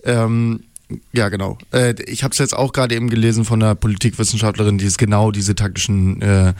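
A man's voice: fairly low pitch, little pitch movement, brisk, a little mellow, dry recording. Man hosting a casual radio discussion, dry sound. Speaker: radio show host.

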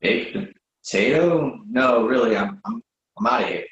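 A man acting a reluctant, sensible friend with a halting, incredulous manner in a readers theatre comedy, recorded in an echoing room. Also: medium pitch, expressive, a bit bright, dull audio, close to an everyday voice. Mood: awkward, reluctant. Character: exasperated, reluctant male friend, sensible but awkwardly polite.

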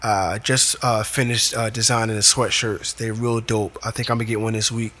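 A man with a raspy voice hosting his own show, delivering an emphatic motivational monologue in short punchy commands. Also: lowish voice, flat delivery, moderate pace, a bit bright. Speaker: podcast host.

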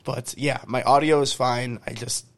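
A man with a hoarse, fading voice hosting a podcast, reading upbeat sponsor ads and repeating promo details. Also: mid-range voice, fairly even intonation, normal speed. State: hoarse, losing his voice. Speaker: podcast host. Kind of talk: podcast intro and ad reads.

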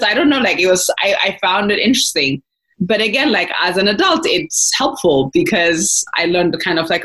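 A woman's voice: on the low side, fairly bright tone, poor audio. A young adult woman from Ethiopia, a company founder, talking casually as an interview guest, thoughtful and full of fillers and restarts.

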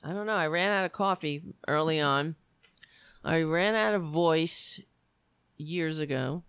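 A woman hosting a political livestream with a worn, raspy voice, talking bluntly and sarcastically while reading the chat. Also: sits low, measured pace, fairly bright tone, no echo. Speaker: livestream show host.

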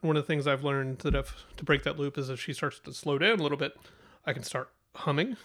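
A man's voice: on the high side, fast talker, a bit bright. A young man co-hosting a podcast, telling stories in a laid-back, chuckling way. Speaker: podcast co-host. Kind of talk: casual chat.

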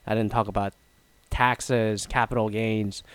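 A man's voice: lowish voice, little pitch movement, a little slow, fairly bright tone, clean tone, no room sound. A man hosting a casual solo talk show, rambling and self-mocking, with a nasal, stuffy voice and a throat that is half gone. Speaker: podcast host.

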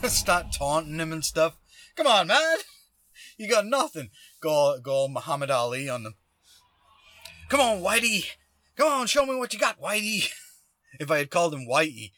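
A man hosting a podcast, telling a personal story in a casual, animated way with chuckles in his talk. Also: fairly high pitch, normal speed, long pauses, no echo. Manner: animated, acts out shouted lines; chuckles while talking. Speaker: podcast host.

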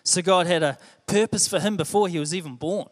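High-voiced New Zealand preacher in an echoey hall. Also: male voice, fairly quick. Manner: earnest, conversational, repeats phrases. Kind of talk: sermon. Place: church hall.

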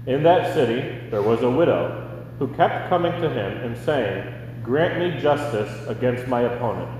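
Male American lay reader, measured and formal, medium pitch, distant in a heavily reverberant church. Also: expressive, darkish tone. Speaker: scripture reader. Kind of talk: scripture reading in church. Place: large indoor church. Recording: very echoey, distant, noisy hall.

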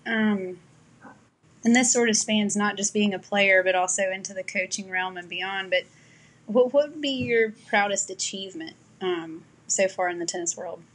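A woman's voice: mid-range voice, normal speed, poor audio. A woman hosting a podcast interview, asking questions and giving brief, encouraging affirmations. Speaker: podcast host.

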